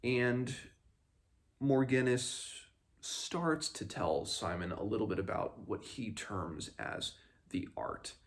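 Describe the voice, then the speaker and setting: A man's voice: on the low side, takes it slowly. A man hosting a book read-along, explaining in a casual, slightly hesitant and rambling way. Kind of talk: casual book discussion with passages read aloud.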